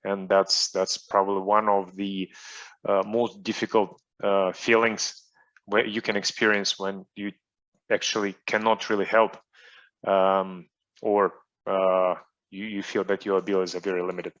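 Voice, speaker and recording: Hesitant Russian-accented man, low voice. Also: level tone, unhurried, fairly bright tone, dull audio. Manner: hesitant, many fillers and restarts. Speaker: guest soccer coach. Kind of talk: online interview.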